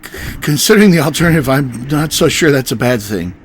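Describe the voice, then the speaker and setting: A man's voice: medium pitch, lively intonation, brisk, natural voice. A weary starship captain in a sci-fi audio drama, played by a male actor. He speaks in a middling male register, hesitant and politely dry, tripping over his words. There is faint noise behind him. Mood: reluctant, wry. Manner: hesitant, stammering. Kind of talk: science fiction audio drama acting.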